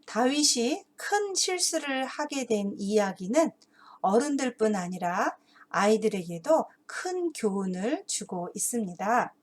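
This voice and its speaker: A woman narrating a children's Bible story in a deliberate, expressive storybook style, like a teacher reading to kids. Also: fairly high pitch.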